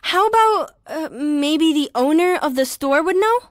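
Inquisitive schoolgirl, high voice, earnest, close dry sound, audio drama. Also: expressive, measured pace, no echo. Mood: determined. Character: curious, determined schoolgirl sister.

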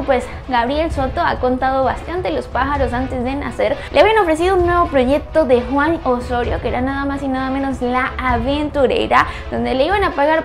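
A woman's voice: sits high, creaky. A young woman, presenting celebrity gossip news in a chatty, dramatic way with lots of fillers from a studio. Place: studio in front of a screen backdrop.

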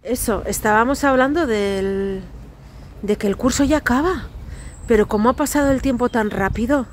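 A woman's voice: a little high, normal speed, a little hiss or hum. A woman in her thirties, a native Basque speaker with a Basque accent, chatting wearily and rambling into her phone camera in a home kitchen. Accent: Basque Spanish. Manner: chatty, rambling, weary asides. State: tired. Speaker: family vlogger. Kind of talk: casual vlog chat. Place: tiled home kitchen, indoors. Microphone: phone camera at arm's length. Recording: echoey tiled kitchen.

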